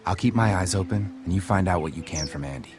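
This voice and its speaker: An actor playing an earnest, cautiously hopeful American survivor in a video game, talking casually. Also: male voice, sits low, fast, natural voice. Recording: game soundtrack, music and birds behind.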